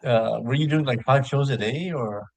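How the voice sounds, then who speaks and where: A man's voice: mid-range voice, normal speed, gravelly, darkish tone. A man who hosts his own show, chatting casually as a guest. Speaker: video show host appearing as a guest. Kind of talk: casual chat.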